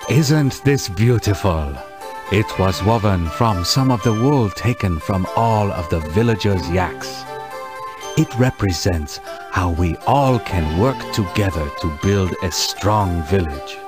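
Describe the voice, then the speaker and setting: An actor playing a kindly, dignified village elder in a children's game, speaking formally, with music behind him. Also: male voice, sits low, animated. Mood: proud, warm. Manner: formal, measured. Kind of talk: scripted children's game voice acting.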